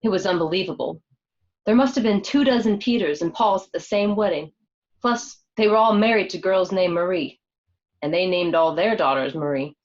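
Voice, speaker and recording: A woman acting a candid, conversational young married woman in a radio play, quick to get defensive. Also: fairly low pitch, lightly acted. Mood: candid, amazed. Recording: dull, lo-fi home recording.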